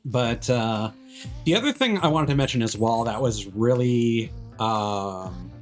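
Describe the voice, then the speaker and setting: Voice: medium pitch, lively intonation, measured pace, faint music behind. A video game streamer giving casual, analytical commentary, rambling and often trailing off mid-thought. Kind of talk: casual livestream commentary while gaming.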